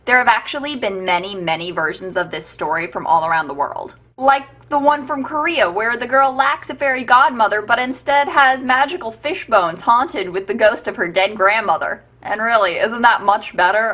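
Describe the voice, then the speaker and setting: A woman's voice: medium pitch, normal speed, hardly any pauses, sharp tone. A woman host giving an irreverent, sarcastic, sweary comedic retelling of fairytales as scripted narration. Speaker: storyteller and web show host.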